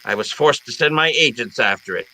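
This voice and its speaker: A man's voice: mid-range voice, lively intonation, a little fast, gravelly, pushed and bright, full character voice, rough recording. A man playing a genteel, wily crime boss in a radio detective drama, speaking in a pompous, florid, courteous way. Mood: grandly scheming. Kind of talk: old time radio detective drama acting.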